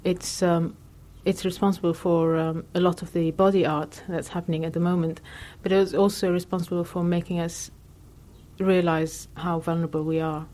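A woman artist from Lebanon with a somewhat low voice answers interview questions reflectively. She thinks aloud, with frequent pauses and fillers between her ideas. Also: flat delivery, dark tone.

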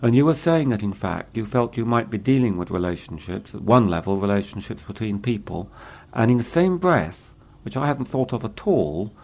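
A male interviewer with a low, gravelly voice asks long questions. He talks in a measured, hesitant way, with a rough, creaky edge throughout. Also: animated.

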